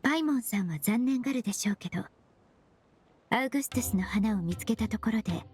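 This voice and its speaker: Woman playing a high-voiced, composed young perfumer. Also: animated, darkish tone, natural voice. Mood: earnest. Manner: polite formal speech, measured explanatory delivery. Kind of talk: Japanese anime game voice acting.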